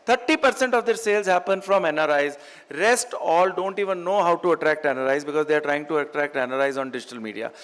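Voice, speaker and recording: Fast, high-pitched North Indian man giving keynote. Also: a bit bright. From Chandigarh, India. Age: middle-aged. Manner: emphatic, repeats phrases in parallel for effect, switches between English and Hindi. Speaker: keynote speaker, real estate executive. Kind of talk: motivational business keynote speech.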